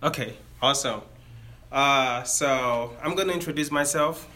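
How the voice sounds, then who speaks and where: A man's voice: medium pitch, measured pace, pushed and bright. A man in his thirties with a Nigerian accent, giving a friendly career talk to students and explaining step by step.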